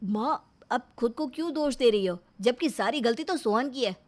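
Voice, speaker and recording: Woman acting domineering elder brother, hot temper, accusatory, storybook drama, higher pitch. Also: expressive, moderate pace, a little hiss or hum, close to an everyday voice. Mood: accusing. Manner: scolding, accusatory. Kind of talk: acted storybook dialogue.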